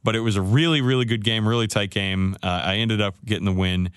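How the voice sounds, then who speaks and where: A man's voice: fairly low pitch, fairly even intonation, a little fast, clear, resonant tone, no echo, studio quality. A man co-hosting a podcast, casually recounting his games in a rambling way, full of restarts and fillers. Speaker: podcast co-host. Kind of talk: casual chat.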